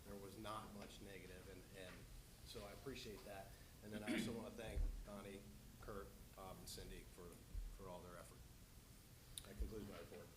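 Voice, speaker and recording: A man's voice: low voice, level tone, a little fast. Council guy thanking everyone, echoey room, noisy background. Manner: good-natured, thankful. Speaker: council member. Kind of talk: council report. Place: council chamber.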